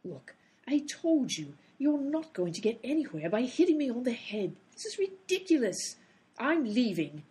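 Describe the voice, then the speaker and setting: A woman's voice: on the high side, sing-song, moderate pace, dark tone, slightly rough, full character voice. A woman playing an exasperated female character in a comic detective radio play. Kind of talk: comedy radio play acting.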